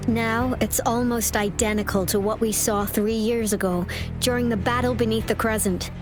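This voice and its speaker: A woman's voice: fairly high pitch, steady tone, normal speed, lightly acted. A woman playing a dutiful, weary and vigilant field director in a video game cutscene, speaking in a businesslike way. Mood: worried. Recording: dramatic music behind the voice.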